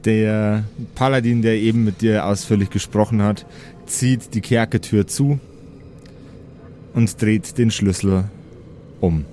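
A man's voice: on the low side, fairly even intonation, a little slow, pauses often, dark tone, a little hiss or hum. A man narrating an improvised tabletop game as its game master.

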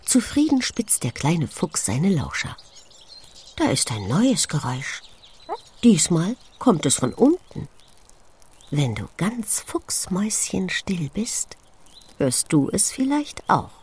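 A woman with a low voice narrates a children's nature story. Her delivery is slow and full of wonder, with very lively swings in intonation as she addresses young listeners. Birdsong is audible behind her. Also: breathy edge. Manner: expressive, hushed and wondering, addressing a small child. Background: forest sounds with chirping birds.

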